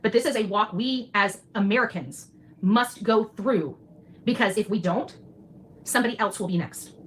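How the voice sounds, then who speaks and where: A woman's voice: mid-range voice, normal speed. A woman giving an emphatic, declarative livestream monologue, repeating phrases for weight. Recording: noisy replayed livestream audio.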